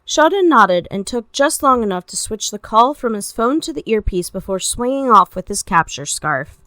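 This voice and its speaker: A woman reading scripted fiction as an amateur narrator, with wry, expressive storytelling. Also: a little high, normal speed, dry recording.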